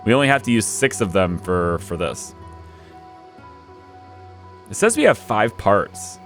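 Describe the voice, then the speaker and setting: A young man talks his way through a strategy game, thinking aloud and coaching his units as he goes. His voice sits low but swings widely in pitch, and it has gone a little hoarse. Faint background music plays beneath him. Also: sing-song, a little slow, a bit bright. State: losing his voice. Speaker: let's play host. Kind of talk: casual gameplay commentary.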